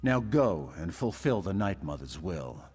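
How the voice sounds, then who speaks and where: A man playing a calm, menacing assassin leader in a video game, speaking in a measured, formal, authoritative way with a husky voice. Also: sits low, exaggerated voice. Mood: cold, commanding. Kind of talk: video game character dialogue. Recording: long hall reverb, music underneath.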